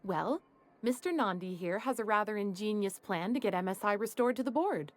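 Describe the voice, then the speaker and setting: An actress playing a composed female executive assistant who speaks in a dry, measured way. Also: medium pitch, expressive, close to an everyday voice.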